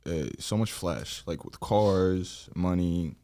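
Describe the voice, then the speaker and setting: Young male guest from Texas, very low voice, easygoing. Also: slow pace, dark tone. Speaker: podcast guest. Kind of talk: casual podcast chat.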